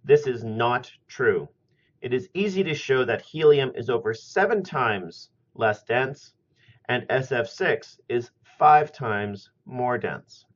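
A middle-aged man giving a scripted chemistry lecture at a steady, methodical pace, recorded on a webcam in a small room. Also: mid-range voice. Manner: measured, methodical explaining. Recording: slightly muffled lo-fi audio.

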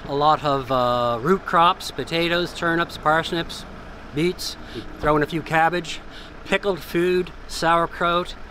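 A man in his sixties guiding a walking tour, speaking in a measured way and listing details with emphasis, recorded outdoors by a harbor. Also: a little high, fairly even intonation, bright, forceful tone. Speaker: tour guide. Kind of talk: guided walking tour narration. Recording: faint outdoor background noise.

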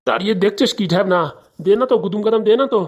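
A woman's voice: mid-range voice, very fast, a little mellow, dull audio, close to an everyday voice. A woman voicing a blustering, bullying male politician in a comic cartoon. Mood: angry, threatening. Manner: threatening, scolding, colloquial.

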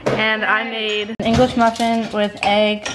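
A teenage girl vlogging casually into a phone held at arm's length, chatty with lots of fillers, often speaking softly. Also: medium pitch, monotone, measured pace, background noise. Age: teens. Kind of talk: casual vlog chat. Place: home, car and outdoors.

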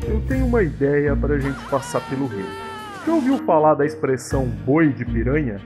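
A man's voice: medium pitch, very animated, normal speed, over music, lightly acted. A man playing a sceptical, sarcastic frog brother in a cartoon comedy, with a dry, conversational delivery. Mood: explaining.

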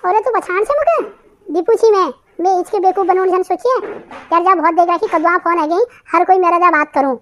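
A woman's voice: very high-pitched, brisk, a bit bright, a little hiss or hum. A woman voicing a cocky, hot-tempered village lad in a comic cartoon, brash and scolding, with a Kumaoni accent. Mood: angry, threatening.

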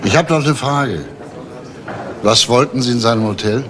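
An actor playing a firm, paternal police detective, deliberate and insistent in a crime radio play. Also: male voice, medium pitch, lightly acted. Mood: calm, paternal. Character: calm, probing male police detective, paternal but firm. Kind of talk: German radio crime drama acting. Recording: noisy retro radio drama recording.

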